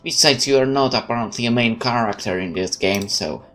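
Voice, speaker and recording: A man's voice: mid-range voice, fairly quick, a bit bright, faint music behind. A man playing through a game, reading its text aloud and adding sarcastic asides. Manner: sarcastic, interjecting quips. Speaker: let's player.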